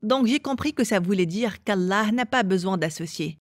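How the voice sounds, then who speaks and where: A woman's voice: medium pitch, lively intonation, normal speed, no room sound, studio quality. A woman from England telling her own life story as an interview guest. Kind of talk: personal testimony in an interview.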